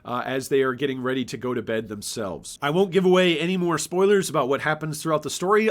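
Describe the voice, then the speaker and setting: Male author-host, 30s, slightly high voice, storybook read-aloud and informal book presentation.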